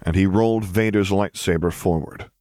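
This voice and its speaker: A man's voice: sits low, fairly even intonation, normal speed, dark tone, dry recording, clean studio sound. A man reading scripted fiction aloud as an audiobook narrator.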